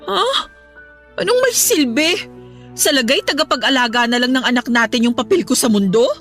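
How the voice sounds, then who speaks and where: An actress playing a hurt, defiant young wife in a radio drama, emotional and indignant. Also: female voice, sits high, animated, normal speed, fairly bright tone, faint music behind. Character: hurt, defiant pregnant young wife pleading her innocence.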